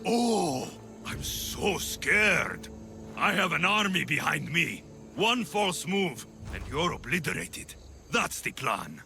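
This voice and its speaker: A menacing young warrior from a video game, with a gravelly edge to his voice. He taunts slowly and arrogantly, as someone sure of his power, over a musical score. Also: a little high, big pitch swings, bright, forceful tone, faint music behind, full character voice. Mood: cold, menacing. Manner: threatening, slow, taunting.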